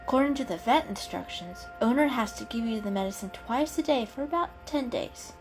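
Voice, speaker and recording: Woman voicing a caring, bookish storybook she-cat, higher-pitched. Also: lively intonation, brisk, over music. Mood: encouraging. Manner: patient, explanatory, kindly. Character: caring, know-it-all, encouraging female cat.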